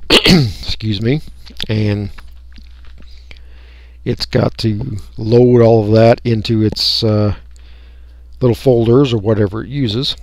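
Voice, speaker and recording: A man talking through a software tutorial step by step in an unscripted, folksy way. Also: lowish voice, steady tone, slow pace, long pauses, dry recording. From United States. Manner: unscripted, folksy, explaining each click. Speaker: radio hobbyist giving a tutorial. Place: indoors, voice-over for a screen recording.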